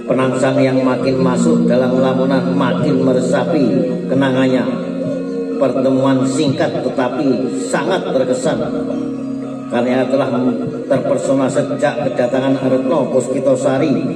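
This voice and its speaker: A man reading a serialized tale aloud in an unhurried, measured way, with a poetic lilt in the verse passages. He sounds distant in a roomy space, and loud instrumental music plays underneath. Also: medium pitch, flat delivery. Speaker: storyteller. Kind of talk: slow serialized story narration. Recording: echoey far-off recording over loud music.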